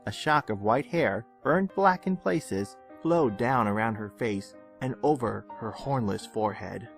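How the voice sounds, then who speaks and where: A man reading a fan fiction story aloud in an expressive, dramatic storytelling manner. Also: mid-range voice, unhurried, gravelly. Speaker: audiobook-style reader of fan fiction. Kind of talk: scripted story narration. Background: background music.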